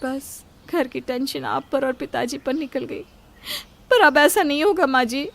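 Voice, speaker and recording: Storybook two-faced daughter-in-law, played by a woman: ingratiating, plaintive, higher-pitched. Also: measured pace, vocal fry, a bit bright, exaggerated voice. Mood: falsely apologetic. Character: two-faced daughter-in-law, sweet-talking her mother-in-law. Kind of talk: dramatised moral storytelling.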